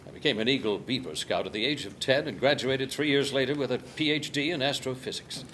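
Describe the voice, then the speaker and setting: A man playing a boastful, squeaky-clean hypochondriac astronaut at a comedy table read, earnest and stumbling. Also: fairly quick, fairly bright tone, natural voice. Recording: clearly noisy table read recording.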